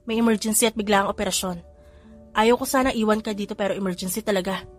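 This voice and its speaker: A woman voicing a caring young policeman who talks in a hurried, apologetic way. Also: mid-range voice, fairly even intonation, faint music behind, lightly acted.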